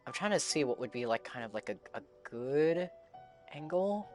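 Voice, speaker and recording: Animated man streaming a video game. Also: fairly high pitch. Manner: halting, thinking aloud. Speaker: live streamer reacting to a game. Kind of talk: casual live stream commentary. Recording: faint game music behind.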